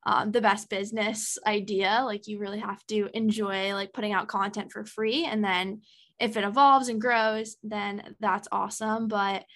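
A woman's voice: mid-range voice, level tone, normal speed, a bit bright. A woman in her early twenties, a podcast guest talking in a chatty, casual way with many ums and likes. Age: early 20s.

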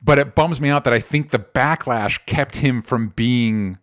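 A man's voice: mid-range voice, a little slow. A man co-hosting a podcast in casual chat, rambling with restarts and swearing freely. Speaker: podcast co-host.

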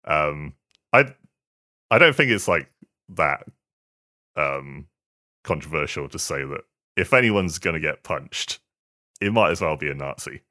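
A man chatting casually as a podcast co-host, in a halting, hesitant way that often repeats words. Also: a bass voice, animated, sharp tone. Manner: halting, hesitant delivery with stammered repetitions. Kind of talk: casual chat.

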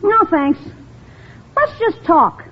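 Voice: extremely high pitch, big pitch swings, slow pace, slight background noise, exaggerated voice. An actor playing an eager, wheedling young schoolboy and little brother in an old-time radio comedy. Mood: sly, earnest. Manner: pleading, exclaiming, wheedling. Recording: dull vintage radio recording.

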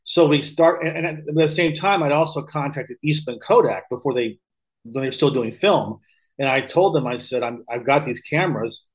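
An American man in his fifties speaks at a medium pitch as a podcast guest. He tells anecdotes in a casual, rambling way, often doubling back to correct himself. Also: normal speed. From Missouri, United States. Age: 50s. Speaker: podcast guest, real estate investor. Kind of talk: casual anecdotal interview talk.

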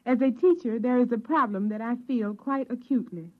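A woman's voice: on the high side, a little fast. A woman from the United States, a teacher, reading a scripted public service message in a formal, earnest way. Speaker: teacher giving a public appeal. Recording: thin, phone-like old radio recording.

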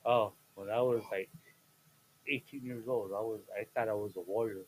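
A man in his fifties, a guest chatting casually on a podcast, playful and jokey, with sudden loud bursts and laughs mid-sentence. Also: lowish voice, fairly even intonation, moderate pace, slight background noise, poor audio. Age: 50s. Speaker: guest, host's father.

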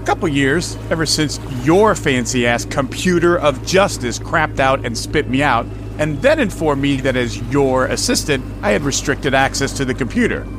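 An actor playing a brash, sarcastic, streetwise sidekick turned cab driver in a radio drama. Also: male voice, mid-range voice, animated, moderate pace, few pauses, background noise, close to an everyday voice. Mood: resentful.

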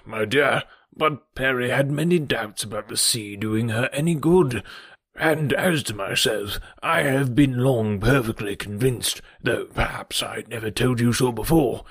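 A man voicing a fretful, fussy old gentleman. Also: mid-range voice, breathy edge, dry recording, clean studio sound. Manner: doting, worried, gently insistent. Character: fretful, fussy elderly gentleman, anxious about health. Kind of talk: audiobook character reading.

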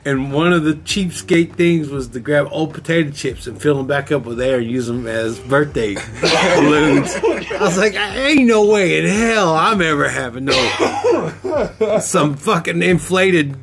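A man's voice: fairly high pitch, lively intonation, a little slow, a crowd in the background. A man co-hosting a podcast, joking and rambling in a loose casual chat, often laughing as he talks. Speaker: podcast co-host.

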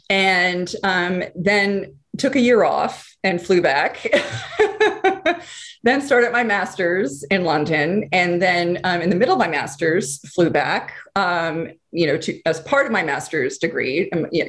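Woman, thirties, lowish pitch, chatty guest who restarts often. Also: expressive, takes it slowly. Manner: chatty, with many fillers and restarts. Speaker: guest, screenwriter and former archaeologist. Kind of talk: casual interview storytelling.

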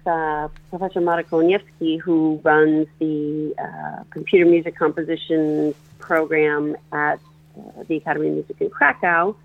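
A composer and performer from northeastern Pennsylvania, answering interview questions on the radio, talking in a halting way with many restarts. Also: medium pitch, creaky. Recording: tinny phone line.

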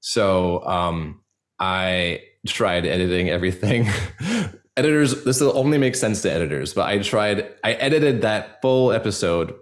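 A man speaking reflectively as a podcast guest, earnest and halting, with a self-deprecating way of talking. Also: low-pitched. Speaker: podcast guest, audio drama creator. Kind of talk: reflective interview conversation.

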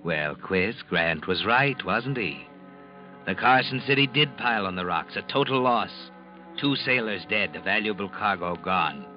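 A man acting as an ominous, accusing narrator in a 1940s radio mystery, speaking to the guilty in a knowing, deliberate way. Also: on the low side, close to an everyday voice. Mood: taunting, knowing. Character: ominous all-knowing male storyteller taunting the guilty. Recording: dull old 1940s radio recording, music behind.

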